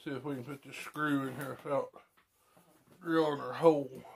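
A man's voice: medium pitch, steady tone, moderate pace, vocal fry. A man in his thirties giving a casual, folksy how-to, muttering to himself as he works indoors, a short distance from the camera. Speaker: DIY host. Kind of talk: casual how-to talk while working. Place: cluttered room indoors at home. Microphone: camera a short distance away. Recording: noisy room with clicking tool sounds.

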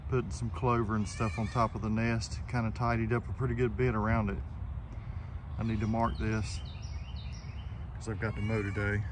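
A man with a Southern US accent casually narrating his backyard chores in a folksy, plainspoken way while outdoors in a garden. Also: lowish voice. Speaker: homesteading vlogger. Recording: outdoor background noise.

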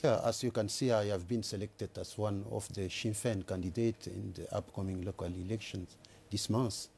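Older male, Congolese accent, low mellow voice, deliberate and halting delivery, studio interview guest. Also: steady tone, gravelly, slight background noise. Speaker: election candidate, interview guest. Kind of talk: live TV panel interview. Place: TV news studio, indoors. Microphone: lapel mic.

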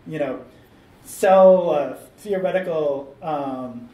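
A man's voice: fairly high pitch, takes it slowly, warm tone. A man on a discussion panel giving a thoughtful answer with frequent ums, recorded in a meeting room. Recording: roomy, distant mic, faint noise.

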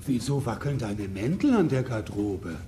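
A man's voice: medium pitch, sing-song, moderate pace, dark tone, slight background noise, natural voice. An actor playing a dim-witted, defensive henchman in a children's audio drama. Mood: doubtful. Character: dim-witted, whiny male henchman of a crook.

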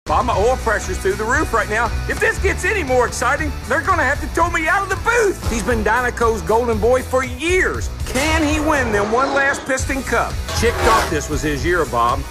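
An actor playing an excitable male race commentator calling the action, from a film soundtrack with loud music. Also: very high-pitched, normal speed, few pauses. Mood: excited.

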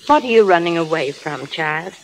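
A woman's voice: lowish voice, lively intonation, moderate pace. Stern but loving elderly grandmother, resolute and admonishing, vintage radio melodrama with faint noise. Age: older. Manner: firm, plain-spoken, admonishing. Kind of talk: 1930s radio drama acting. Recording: noisy vintage radio broadcast.

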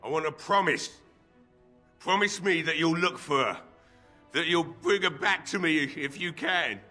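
An actor playing a drunken, grief-stricken old village blacksmith in a fantasy game, gruff, weary and bitter. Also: male voice, on the high side, unhurried, sharp tone, soft background music. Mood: doubtful, pleading. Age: older. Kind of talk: fantasy game dialogue acting.

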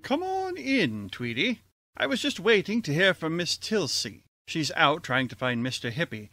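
Casting: actor as impatient college chairman, highish lively voice, brusque, radio comedy. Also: moderate pace, no room sound, studio quality, full character voice. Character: exasperated, tight-fisted college board chairman. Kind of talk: old-time radio comedy acting.

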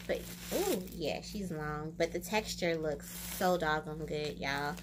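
A young American woman chatting casually and expressively to camera in a product review, with drawn-out exclamations. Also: low-pitched, background noise. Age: young adult. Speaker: beauty vlogger and wig reviewer. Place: small room indoors.

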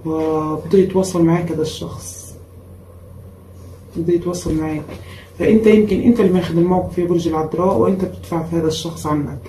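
Woman tarot reader, tired voice, emphatic repeats, dark tone, faint room noise. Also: lowish voice, fairly even intonation, reverberant, low-quality recording. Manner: repetitive and emphatic, with frequent pauses and fillers. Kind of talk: casual tarot reading for viewers. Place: indoor room at a table with cards and a candle.

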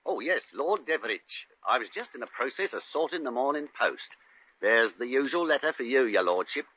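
An actor playing a fussy, obsequious British resort clerk who stammers deferentially, in a 1950 radio comedy. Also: male voice, medium pitch, lively intonation, moderate pace, pushed and bright, slight background noise. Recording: muffled vintage 1950s radio recording.

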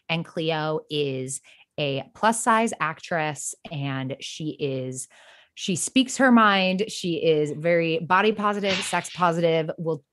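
A woman speaking casually as a podcast guest, talking as a writer would in an interview. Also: low voice.

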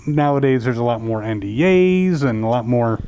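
A man's voice: mid-range voice, lively intonation, moderate pace, warm tone, smooth, highs cut off. A middle-aged man asking conversational interview questions into a handheld microphone through a face mask. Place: indoor lounge at a convention hotel. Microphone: handheld interview microphone, speaking through a face mask.

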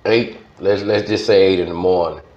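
A middle-aged man with a low voice and a Southern US accent tells stories in a casual interview. He repeats phrases for emphasis. The recording is roomy and somewhat muffled. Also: steady tone, normal speed. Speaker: interview guest, former radio DJ. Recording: dull, roomy studio sound.

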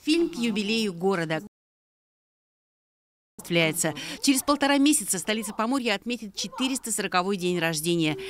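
A woman reading a scripted television news report as its reporter. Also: mid-range voice.

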